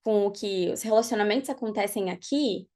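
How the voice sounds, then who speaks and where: A young woman from Brazil, a podcast guest, chatting casually and telling her story in an animated way, laughing often. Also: mid-range voice, fairly quick. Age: young adult. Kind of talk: casual interview chat. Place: podcast studio.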